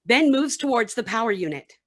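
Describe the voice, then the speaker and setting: A woman's voice: a little high, lively intonation, brisk. A woman giving scripted narration for a radio play, reading out the action between scenes.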